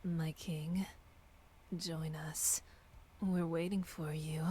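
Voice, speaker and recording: A woman's voice: deep voice, level tone, slow, warm tone, slight background noise. A woman voicing an alluring goddess in a visual novel game. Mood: languid.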